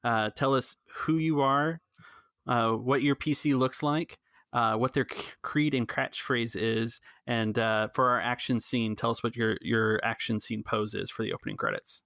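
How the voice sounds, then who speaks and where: A man's voice: mid-range voice, normal speed, vocal fry, a bit bright, dry recording, studio quality. A man hosting a tabletop role-playing game as game master and narrator.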